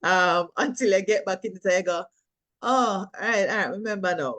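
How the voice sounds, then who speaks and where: A woman's voice: mid-range voice, moderate pace, fairly bright tone. A middle-aged woman with a Jamaican accent, chatting animatedly as an interview guest, laughing often and slipping into patois. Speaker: filmmaker and actress, guest. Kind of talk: casual interview chat.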